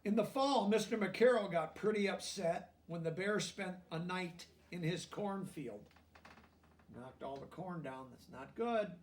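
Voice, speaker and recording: Male children's story reader, high register, explains as he goes, recorded a little way off the mic. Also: expressive, distant mic. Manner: pauses to explain words and check understanding in plain language. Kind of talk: children's read-aloud with explanatory asides.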